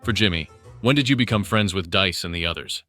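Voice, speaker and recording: A man's voice: low-pitched, brisk, sharp tone, faint music behind. A man reading fan questions aloud as the question reader.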